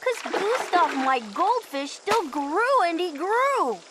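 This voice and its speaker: A woman reading a children's picture book aloud in an expressive, theatrical storytelling manner, over background noise. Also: very high voice, slow pace. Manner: theatrical, dramatic delivery for children.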